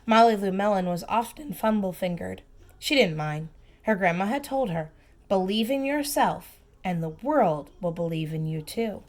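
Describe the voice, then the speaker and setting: A woman reading a storybook aloud to young children, expressive and emphatic, with simple asides and questions for the listeners. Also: medium pitch. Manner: expressive, slow emphatic phrasing, simple child-directed comments. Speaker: preschool teacher reading aloud.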